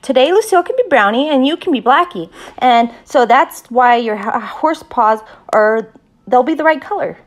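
A woman's voice: high voice, animated, normal speed, voice pushed up. A woman voicing an eager, friendly kindergarten girl in a children's audiobook, bubbly and earnest. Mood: excited.

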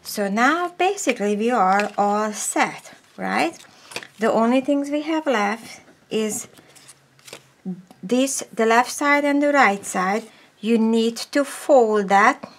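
Slow-talking woman explaining a craft. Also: on the high side, lively intonation, fairly bright tone, a little hiss or hum. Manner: slow, step-by-step, drops to a whisper while working. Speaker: papercraft tutorial host. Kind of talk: casual crafting tutorial. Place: craft table indoors, overhead camera.

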